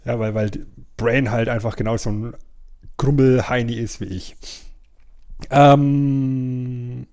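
A man's voice: mid-range voice, steady tone, slow, pauses often, a little mellow. A middle-aged man chatting casually as a podcast co-host. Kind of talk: casual chat, ranking old cartoons.

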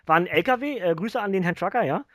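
A man with a high-pitched voice and a German accent, recorded dry and close. He chats informally and nostalgically, as a co-host in a podcast conversation. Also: animated, quick pace, no echo. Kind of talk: casual nostalgic podcast chat.

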